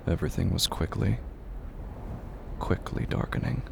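A man with a gravelly, breathy voice narrating a horror audio drama in an intense, dramatic, deliberate way. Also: sits low, background noise. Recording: loud ambient sound effects under voice.

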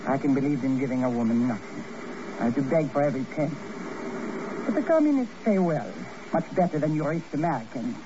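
An actress playing a cold, scheming foreign princess in a 1950s radio drama, theatrical, haughty and formal. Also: female voice, low-pitched. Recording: noisy muffled old radio recording.

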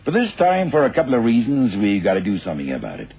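An actor playing a worried, brisk official who explains things emphatically in a vintage radio crime drama. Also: male voice, lowish voice, wildly swooping pitch, phone-quality sound, full character voice. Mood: urgent, grave. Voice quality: husky, rough. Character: worried, brisk male official briefing investigators. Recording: thin, band-limited old radio recording.

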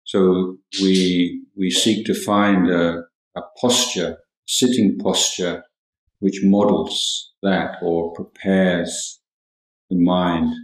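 A man giving a guided meditation talk as a Buddhist teacher, calm and measured, with long pauses between short phrases. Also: low voice. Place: indoor room at a monastery. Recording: roomy, echoing hall sound.

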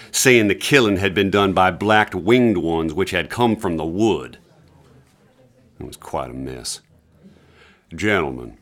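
An actor playing a courteous lawman in a radio drama, speaking formally and with measured politeness. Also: sits low, fairly bright tone. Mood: grave recounting.

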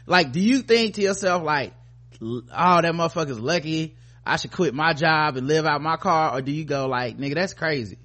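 Higher-pitched American man hosting a casual podcast. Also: moderate pace, a bit bright. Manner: informal, profane, rambling. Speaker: podcast host. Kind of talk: casual, opinionated podcast discussion.

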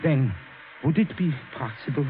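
An old, tinny radio recording carries a shy professor played by a male actor with a high, swooping voice. He courts tenderly and haltingly, in earnest 1940s radio drama style. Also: animated, slight background noise, close to an everyday voice. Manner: halting, repeats words, earnest.

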